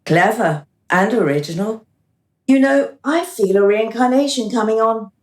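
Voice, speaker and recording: An actress playing a composed, wry reincarnation official in a radio play. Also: female voice, a little high, lively intonation, a little slow. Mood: wry, flirtatious. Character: brisk, composed female reincarnation official, playfully flirtatious. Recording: roomy, echoing radio play recording.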